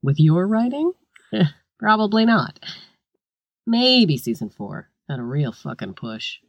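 A woman's voice: fairly low pitch, big pitch swings, slow pace, breathy edge, no room sound, dull audio, heavily acted. A woman playing a scathing, foul-mouthed comedian in a scripted comic argument, with a sarcastic, cutting delivery. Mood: mocking. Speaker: guest comedian in a scripted satire sketch.

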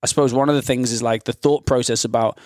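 A man's voice: mid-range voice, steady tone, fast, darkish tone, no echo, studio quality. A man hosting a podcast in an informal, bantering, chatty way. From North Wales, UK. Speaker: podcast host. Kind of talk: casual podcast chat.

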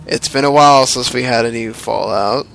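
A man's voice: medium pitch, normal speed, creaky, fairly bright tone, a little hiss or hum, low-quality recording. A man leading a casual gaming podcast, throwing out topics in a loose, sarcastic way. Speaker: podcast host.

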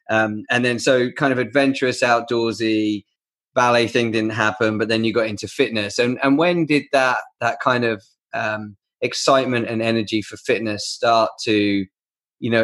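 A man hosting a podcast with a fairly low voice, upbeat and eager as he welcomes his guest. He talks in quick bursts and tends to repeat his words. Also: a bit bright. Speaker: podcast host. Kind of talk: casual interview chat.

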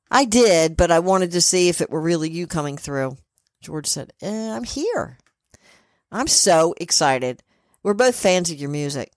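A woman co-hosting a podcast, loud and chatty, moving between playful asides and reading from a script. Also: lowish voice, dry recording, clean studio sound. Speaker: podcast co-host and spirit communicator. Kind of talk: casual chat and reading from a script.

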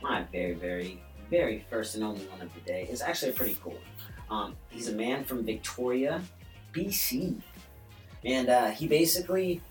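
Low-voiced man in an echoey room. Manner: rambling, with many ums and "basically". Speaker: podcast co-host. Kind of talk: casual storytelling chat. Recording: roomy echo, distant from the mic.

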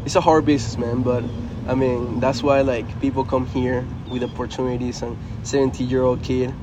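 A man's voice: mid-range voice, level tone, moderate pace, background noise. A young man from Venezuela, a native Spanish speaker with a Venezuelan Spanish accent, talking casually and earnestly in an interview as a college baseball player. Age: young adult. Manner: earnest, loose, frequent "man" and "you know".

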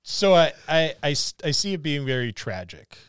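A man's voice: fairly high pitch, sing-song, moderate pace, fairly bright tone, smooth, no room sound, studio quality. A man co-hosting a gaming podcast, chatting informally and jokingly and slipping into imagined dialogue as he goes. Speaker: podcast co-host. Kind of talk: casual chat about tabletop gaming.

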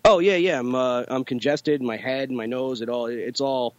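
A congested young man co-hosting a casual podcast, rambling through fillers and loose asides. His voice sits in an ordinary middle pitch. The dry, slightly dull recording has no room sound. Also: normal speed, no room sound. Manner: rambling, filler-heavy, laid-back. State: congested with bronchitis. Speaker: podcast co-host. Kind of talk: casual chat.

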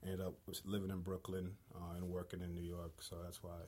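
A man in his thirties from Haiti, a guest talking casually in an interview, relaxed with lots of fillers. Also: low-pitched, brisk, slightly rough. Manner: relaxed, laughs easily, many fillers.

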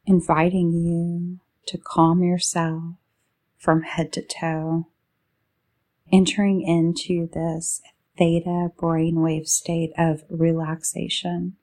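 A woman guides a meditation in a fairly low voice with a gravelly, fried edge. Her tone is dark and soft. She moves very slowly, laying out soothing phrases one short piece at a time. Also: completely flat delivery. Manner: slow, soothing delivery in short drawn-out phrases.

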